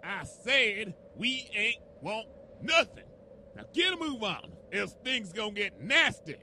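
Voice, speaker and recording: Man playing a swooping Southern stallion dad, higher-pitched. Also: very animated, slow pace, bright, forceful tone, a little hiss or hum, full character voice. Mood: hostile, threatening. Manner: folksy, drawling. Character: protective, folksy stallion father, wary wasteland settler pony.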